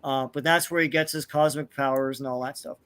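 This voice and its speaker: A man's voice: mid-range voice, fairly even intonation, a little fast, a bit bright, smooth. A man in casual podcast chat about comics, rambling with lots of hesitations. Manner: rambling, lots of ums and likes.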